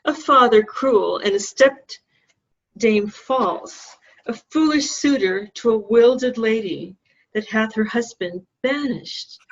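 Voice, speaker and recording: A grieving young princess, read by a woman, laments in measured Shakespearean verse. The voice is fairly high and slow, sounding distant and muffled over a video call. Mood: sorrowful. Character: grieving young princess, banished husband's loyal wife. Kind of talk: Shakespeare play read aloud.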